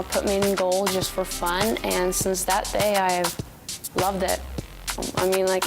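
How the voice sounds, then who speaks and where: Slow, creaky young woman over music. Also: medium pitch. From Sweden. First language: Swedish. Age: 20s. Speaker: college soccer goalkeeper. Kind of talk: casual on-camera profile interview. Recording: clear music under the voice. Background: background music.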